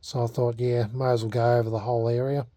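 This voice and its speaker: Mellow Australian man, casual and laid-back. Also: medium pitch, flat delivery, fast talker, no room sound, studio quality. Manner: hesitant, self-deprecating, laid-back. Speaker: vlogger. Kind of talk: casual hobby restoration vlog, unscripted.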